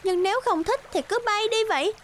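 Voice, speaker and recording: A woman's voice: extremely high pitch, expressive, a little fast, pitched above the performer's own voice. A woman voicing a carefree, lazy and smug swan in a cartoon fairy tale, breezy and exclaiming. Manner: breezy, self-satisfied, exclaiming.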